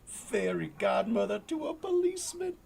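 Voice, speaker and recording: An actor playing a jovial, folksy bodyguard sidekick in a vintage radio drama, talking in an amused drawl. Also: male voice, high-pitched, sing-song, moderate pace, creaky, darkish tone, breathy edge, heavily acted. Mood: marvelling.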